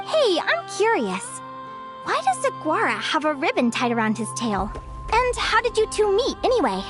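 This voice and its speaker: A woman's voice: extremely high pitch, huge pitch swings, normal speed, exaggerated voice. A woman voicing a young, curious bird fae explorer in a video game, talking in an eager, wondering way. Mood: friendly. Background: game music.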